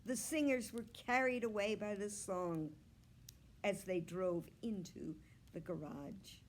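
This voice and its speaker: A woman reading a children's novel aloud as a storyteller, expressive and deliberate, drawing out words. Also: mid-range voice. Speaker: children's story reader, library staff.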